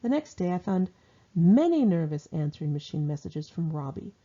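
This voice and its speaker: A woman's voice: lowish voice, very animated, moderate pace, warm tone. A woman reading her own memoir and diary entries aloud as informal audiobook narration, in a wry, sardonic, conversational way, on a dull lo-fi home recording.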